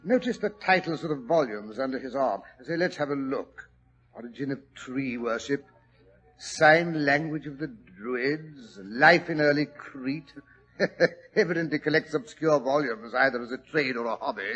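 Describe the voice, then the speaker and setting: An actor playing a polite, somewhat hesitant middle-aged doctor who narrates in a 1940s radio drama. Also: male voice, medium pitch, moderate pace, fairly bright tone, breathy edge, dull audio. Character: middle-aged male doctor, Holmes' friend, genial. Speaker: narrator and lead.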